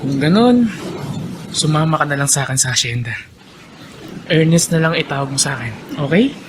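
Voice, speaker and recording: A man playing a kindly, easygoing plantation gentleman in a radio drama, friendly and reassuring, dropping in bits of English. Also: a little high, animated, normal speed, natural voice. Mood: kindly, insistent. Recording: noisy radio drama track with ambience.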